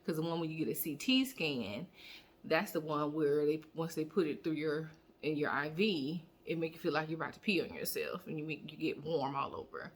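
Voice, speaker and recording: A woman vlogger chatting casually to her viewers, rambling with fillers and restarts, her voice a little hoarse. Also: deep voice, expressive, fairly quick, slight background noise. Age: adult student. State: slightly hoarse. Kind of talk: casual personal vlog update.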